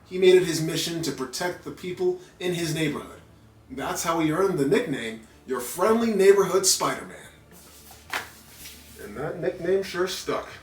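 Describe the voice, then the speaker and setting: A man reading a children's story aloud in an animated narrating style with playful asides. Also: on the high side, moderate pace. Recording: roomy echo with background music.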